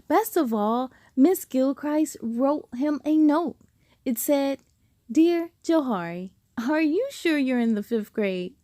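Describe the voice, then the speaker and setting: A woman reading a children's book aloud with expressive storytelling and dramatic emphasis. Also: high-pitched, dry recording, studio quality. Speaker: storybook reader.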